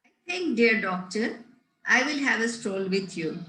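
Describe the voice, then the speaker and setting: A woman reading the part of a prim, strict governess in a Victorian comedy table read, formal and measured. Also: on the high side, lively intonation, sharp tone, slight background noise. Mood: coy. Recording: roomy, echoing sound.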